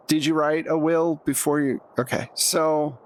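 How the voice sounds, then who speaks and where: A man's voice: a little high, steady tone, slow pace, low-quality recording. A man acting as game master, narrating scenes to players in a conversational way and asking them for rolls. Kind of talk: narrating a tabletop roleplaying game.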